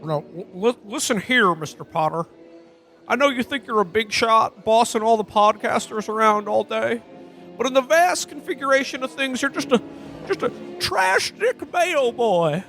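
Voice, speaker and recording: A man's voice: extremely high pitch, lively intonation, moderate pace, a bit bright, soft background music, full character voice. A man playing an earnest, indignant everyman in a parody radio play, stammering and exasperated in a folksy old-movie manner. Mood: defiant. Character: flustered, indignant earnest everyman podcaster hero.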